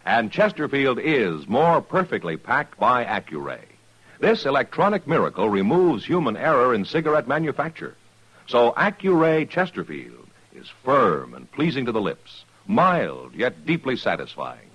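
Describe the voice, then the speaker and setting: A man's voice: medium pitch, wildly swooping pitch, normal speed, a bit bright, husky, rough recording. An American man announcing a radio advertisement in polished 1950s style. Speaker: commercial announcer.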